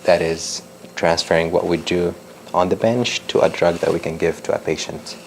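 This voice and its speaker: Deep, gravelly-voiced young man. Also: level tone, moderate pace, a little hiss or hum. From Lebanon. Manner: hesitant, many ums and restarts. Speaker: PhD researcher giving a talk. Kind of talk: public science talk.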